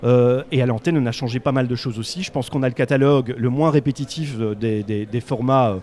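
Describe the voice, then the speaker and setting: A man's voice: mid-range voice, a little fast, darkish tone. A man who grew up speaking Dutch, a Belgian radio executive speaking on a conference panel in an assertive, explanatory way.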